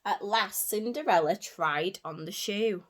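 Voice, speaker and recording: A woman reading a story aloud for young children as a teacher, in an animated way with simple repeated phrases and asides to her listeners. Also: mid-range voice, a little slow. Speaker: early years teacher.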